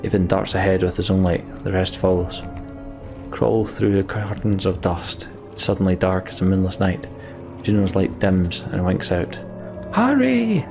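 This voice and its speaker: A man with a gravelly voice reading a game's story text theatrically as a let's play streamer. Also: deep voice, little pitch movement, normal speed. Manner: theatrical, acts out the characters' lines. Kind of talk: dramatic reading of game story text, with asides. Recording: game music behind the voice. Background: game music.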